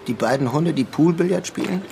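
Wry, disillusioned would-be crook, music behind. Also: male voice, on the high side, expressive, unhurried, creaky. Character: disillusioned would-be artist narrating his small-time heist plan. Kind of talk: German radio crime drama, dialogue and reflective narration.

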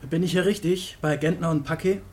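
A man's voice: a little high, fairly even intonation, a little fast, darkish tone, natural voice. Tentative young male police trainee acted, noisy background. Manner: tentative, hesitant. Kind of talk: radio drama dialogue.

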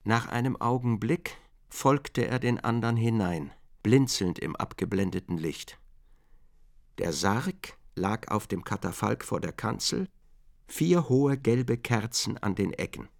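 Creaky-voiced male radio drama narrator. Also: on the low side, lively intonation, measured pace.

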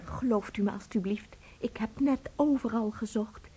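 An actress playing an anxious, flustered, emotional young wife in a radio play, breathy and halting. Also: female voice, on the high side, mellow. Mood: distraught, confused.

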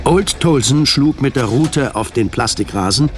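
A man's voice: medium pitch, lively intonation, measured pace. A man reading scripted literary narration as the narrator of a radio drama. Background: music.

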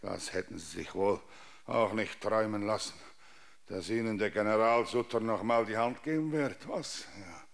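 An actor playing a broken, deranged old general in a German radio drama, rambling, pleading and grandiose. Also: male voice, on the low side, moderate pace, vocal fry. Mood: bitter rambling. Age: older.

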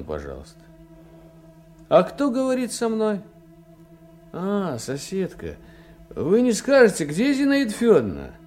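An actor playing a brisk, businesslike Soviet police detective in a radio drama, with clipped, questioning, commanding delivery. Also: male voice, high voice, a little mellow, exaggerated voice. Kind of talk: Russian radio drama acting.

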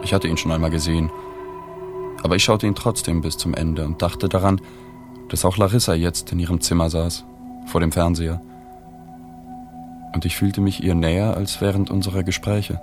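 An actor playing a reflective, reserved journalist narrating a radio drama in a measured, sober, understated way. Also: male voice, sits low, fairly even intonation, close to an everyday voice. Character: reflective, reserved male journalist recalling a dying woman. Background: music.

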